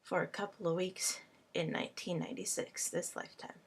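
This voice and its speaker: A woman whose first language is Hungarian, a language tutor speaking to camera in a personal vlog, in short, halting phrases. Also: lowish voice, fairly even intonation, husky.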